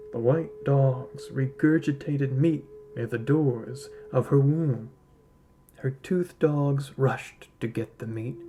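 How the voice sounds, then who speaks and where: A man reading a literary story aloud as a narrator, in a measured, solemn storytelling manner. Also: mid-range voice, mellow, a little hiss or hum. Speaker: podcast host reading a short story aloud.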